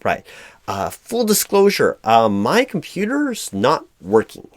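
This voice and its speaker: A man's voice: medium pitch, huge pitch swings, takes it slowly, no echo. A man playing a flustered, apologetic corporate hiring manager in a one-sided roleplay, stammering and repeating his words as he goes. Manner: frustrated, hesitant. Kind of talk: improvised audio roleplay, one side of a conversation.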